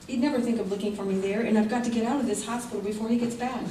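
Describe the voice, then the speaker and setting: A vulnerable wife, acted by a woman in an old-time radio melodrama. Her voice is fairly high and mellow, earnest and theatrical. She sounds set back in a roomy, echoing stage hall. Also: fairly even intonation, very fast, lightly acted. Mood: urgent, determined. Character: fragile, trusting injured wife turning distraught and determined. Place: theater stage before a live audience. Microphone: stage mics, recorded by far camera. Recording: echoey stage hall, far mic, faint noise.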